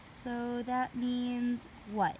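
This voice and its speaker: A woman's voice: a little high, level tone, extremely slow, darkish tone, slight background noise, voice pushed up, low-quality recording. A woman voicing a bold, friendly, eager little girl in a children's storybook. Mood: excited.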